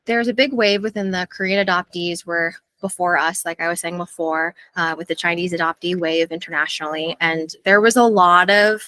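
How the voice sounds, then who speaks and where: A woman's voice: fairly low pitch, fairly even intonation, a little fast, hardly any pauses, bright, forceful tone. A young adult woman speaking as an interview guest, earnest and thoughtful, with frequent fillers. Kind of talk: reflective interview conversation.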